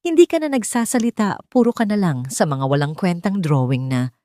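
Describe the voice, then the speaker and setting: A woman's voice: lowish voice, very animated, fairly quick, warm tone, no room sound, clean studio sound. Woman voicing a cruel, glamorous rich woman, venomous. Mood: angry, contemptuous. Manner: venomous, contemptuous. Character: cruel, glamorous wealthy woman scolding a small boy.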